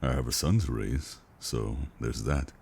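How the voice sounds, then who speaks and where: A man acting a stoic, weary operative and father in an audio drama, speaking in a measured, resigned way. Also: a bass voice, gravelly, a little mellow, slight background noise, exaggerated voice. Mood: measured, reassuring.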